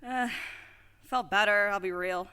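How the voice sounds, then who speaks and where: A woman's voice: mid-range voice, measured pace, pushed and bright, a little hiss or hum. A woman playing a brash, protective young friend who talks bluntly and crudely. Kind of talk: audio drama acting.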